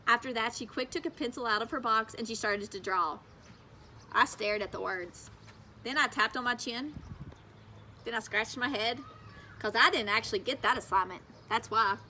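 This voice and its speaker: A woman's voice: fairly high pitch, moderate pace, bright, forceful tone, a little hiss or hum. A woman reading a children's story aloud as a teacher, narrating expressively with emphatic, childlike phrasing.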